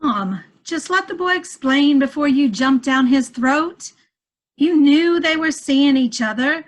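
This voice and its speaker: A woman acts a pleading mother who tries to keep the peace in a stage drama. Her voice is very high and slow. It is heard over a video call. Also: animated. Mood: reproachful. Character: Esther, pleading peacemaking middle-aged mother. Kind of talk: amateur play table read.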